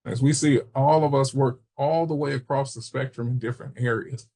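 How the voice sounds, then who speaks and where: An American man from Chicago giving an informal training webinar, explaining haltingly with personal asides. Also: mid-range voice, fairly even intonation, gravelly, mellow. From Chicago, United States. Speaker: webinar presenter, substance misuse prevention trainer.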